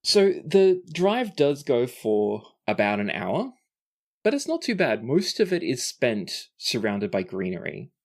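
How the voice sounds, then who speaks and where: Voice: mid-range voice, sing-song, measured pace. A game master and host narrating a tabletop roleplaying podcast in a descriptive way, sometimes self-correcting.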